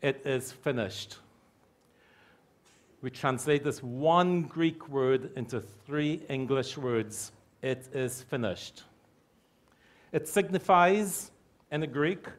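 A middle-aged man preaching a sermon from a church pulpit mic, repeating key phrases slowly for emphasis. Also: fairly high pitch. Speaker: pastor. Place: church hall with stage curtains.

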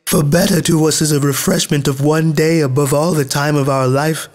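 A man's voice: on the high side, fairly even intonation, normal speed, faint music behind, voice pushed up, natural voice. A man voicing damned souls who cry out for mercy in a pleading manner, in a scripture reading. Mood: grateful pleading. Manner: pleading, crying out. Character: wailing crowd of damned souls begging for mercy.

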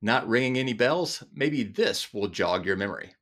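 A man's voice: medium pitch, animated, normal speed, fairly bright tone, a little hiss or hum. A man in his forties hosting a comic book collecting video, talking through scripted commentary with enthusiastic, playful asides. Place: indoor room with chandelier.

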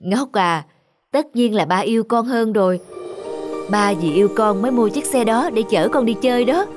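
A woman voicing a caring young mother who explains things gently in a children's cartoon. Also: on the high side, animated, moderate pace, over music, close to an everyday voice. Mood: reassuring. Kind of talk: Vietnamese children's cartoon dubbing.

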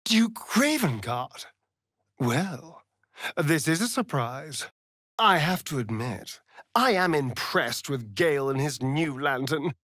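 Vain, flirtatious British vampire rogue for a fantasy game: slow, arch delivery with big swoops in pitch. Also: male voice, lively intonation, fairly bright tone, dry recording, studio quality, heavily acted. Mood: impressed, flirtatious. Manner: arch, theatrical, drawling, mocking. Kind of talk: theatrical video game character acting.

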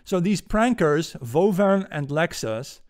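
Dutch guy ranting on his home podcast, fired up and talking with his hands, fairly high voice. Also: expressive, takes it slowly. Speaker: podcast host. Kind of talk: political commentary monologue. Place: home room, indoors. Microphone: studio mic on a boom arm.

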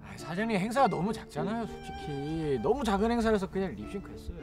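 Whiny tired event singer, high-pitched, music under him. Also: male voice, very animated, quick pace. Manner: whining, complaining. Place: outdoor park. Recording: background music under dialogue.

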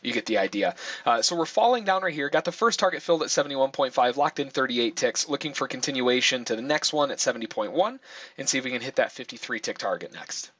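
A man's voice: mid-range voice, lively intonation, fast talker, fairly bright tone, husky. A man giving casual live commentary as a trading instructor, explaining and thinking aloud as he goes. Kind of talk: casual live trade commentary over charts.

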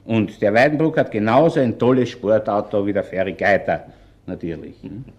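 An actor playing a shrewd, unhurried Viennese police inspector in a radio crime play, with an Austrian accent. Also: male voice, lowish voice, a little mellow, muffled recording, lightly acted. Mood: explaining. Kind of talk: naturalistic radio crime drama acting.

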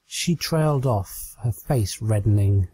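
British man, amateur story narrator, unhurried, soft dark timbre. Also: lowish voice, breathy edge. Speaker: amateur fan fiction reader.

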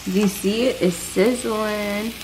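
A woman's voice: mid-range voice, steady tone, slow pace. A young woman co-hosting a home cooking vlog in casual chat. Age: young adult. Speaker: vlog co-host. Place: home kitchen, indoors. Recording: clear frying noise in kitchen. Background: frying sizzle and stirring.

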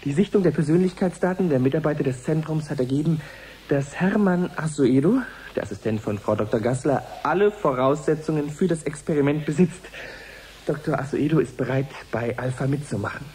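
An actor playing an earnest live reporter in a radio drama, speaking in a measured, formal, suspenseful way. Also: male voice, on the high side, warm tone, a little hiss or hum, close to an everyday voice. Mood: earnest, curious. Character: earnest male live reporter following an experiment.

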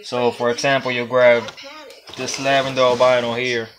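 A man's voice: medium pitch, flat delivery, normal speed, a crowd in the background. Loose-talking Puerto Rican young man. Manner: loose and rambling, with laughs and swearing. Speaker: reptile breeder and vlogger. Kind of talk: casual how-to vlog. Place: indoor reptile room lined with storage tubs. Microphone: phone held close at arm's length.

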